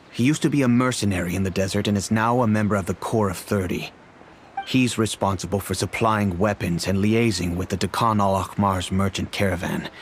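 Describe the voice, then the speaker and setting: A man's voice: fairly low pitch, slight background noise, close to an everyday voice. A man playing a regretful merchant father. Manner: measured, regretful. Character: remorseful middle-aged merchant father. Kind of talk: video game dialogue.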